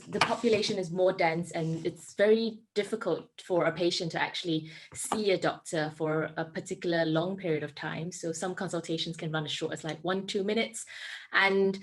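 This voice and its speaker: A woman in her twenties with a Singaporean accent, a medical student giving an earnest prepared talk with frequent ums and self-corrections. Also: fairly low pitch.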